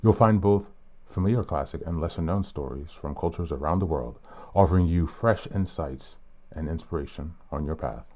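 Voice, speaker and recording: A man with an extremely low, dark and mellow voice reads aloud for his self-help podcast. He is a bit sick and slightly hoarse, and keeps a calm, earnest, unhurried delivery. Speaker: podcast host. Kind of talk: reflective podcast reading.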